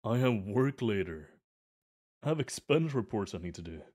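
A man's voice: on the low side, lively intonation, normal speed, darkish tone. Man playing an eager, chatty gym bro. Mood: friendly. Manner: chatty, earnest, giggly. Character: friendly eager adult male gym bro. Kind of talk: ASMR roleplay.

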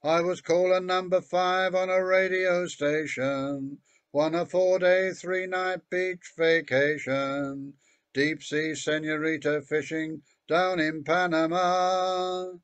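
A man's voice: a little high, level tone, slow pace, fairly bright tone, smooth, no room sound. An older man singing a country song at an online open mic, from a home room on a video call. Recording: dull lo-fi video call audio.

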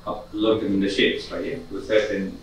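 A man's voice: lowish voice, level tone, fairly quick. A middle-aged man giving a public talk to an audience in a community conference room, speaking hesitantly with many fillers and restarts. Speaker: guest lecturer. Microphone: far camera. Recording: echoey room from far off, faint hiss.

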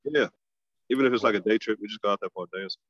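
A man, a stand-up comic, chatting casually as a podcast guest over a phone video call, loose and relaxed, often restarting his phrases. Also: fairly low pitch, racing, dry recording, lo-fi sound. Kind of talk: casual conversation.

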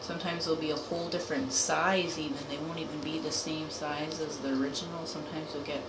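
A woman with a low voice talks loosely through her gameplay, pausing often on ums and uhs. The recording is roomy and echoey, with heavy noise underneath her voice. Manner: relaxed, lots of ums and uhs. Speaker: gameplay narrator. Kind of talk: casual game commentary. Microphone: voice-over on screen recording. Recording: echoey room with loud background noise.

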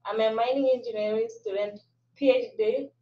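Botswana-born student woman, matter-of-fact, quiet and off the mic. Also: fairly high pitch, steady tone, normal speed, recorded from a distance. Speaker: student panelist. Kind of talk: brief self-introduction. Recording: muffled, from off the mic.

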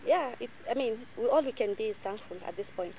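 A Kenyan woman in her thirties, a guest telling her life story in a measured, reflective way, switching between English and Swahili, recorded outdoors in a garden on a lapel mic. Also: a little high, lively intonation, background noise. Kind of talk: personal testimony in conversation.

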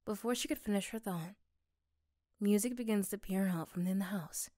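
A woman's voice: mid-range voice, normal speed, warm tone, no room sound, clean studio sound. A woman reading scripted story narration for an ASMR roleplay.

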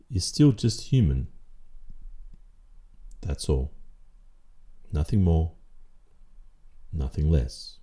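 Very deep, slow Australian man. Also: mellow. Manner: deliberate, measured, stern. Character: stern, controlling, possessive boyfriend lecturing his partner. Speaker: roleplay audio performer. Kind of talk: ASMR boyfriend roleplay monologue.

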